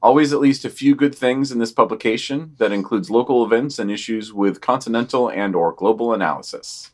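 A man's voice: medium pitch, fairly quick. Man giving dry offhand news commentary. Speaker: podcast news reader. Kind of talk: reading news summaries with commentary.

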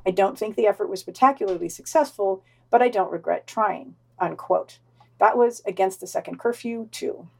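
A woman's voice: medium pitch, normal speed, gravelly, slightly rough. A woman co-hosting a podcast, reading news summaries and long quotes with wry, dry asides. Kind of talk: casual news roundup with read-out quotes.

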